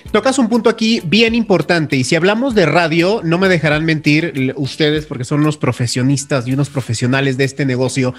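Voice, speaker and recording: A man's voice: a little high, fairly quick, hardly any pauses. A man hosting and moderating a conversational radio panel. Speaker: host.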